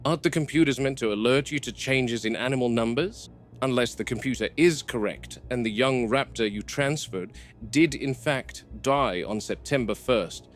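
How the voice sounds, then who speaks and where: A man's voice: medium pitch, close to an everyday voice. A man playing a stern, suspicious game warden with a clipped, sardonic delivery in a horror film. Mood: suspicious, exasperated. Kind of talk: found-footage horror film acting. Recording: noisy found-footage style audio.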